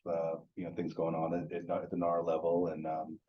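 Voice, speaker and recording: A man's voice: low voice, completely flat delivery, moderate pace, dull audio, a little hiss or hum. A man hosting a casual interview, chatty and hedging with many filler words. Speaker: show host. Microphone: video or phone call.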